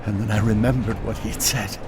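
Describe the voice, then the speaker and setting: An actor playing the apostle Peter, telling the story in a halting, stammering first-person way. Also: male voice, on the low side, steady tone, natural voice. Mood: remorseful. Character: remorseful apostle Peter, a grown fisherman. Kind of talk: first-person dramatic narration. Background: music.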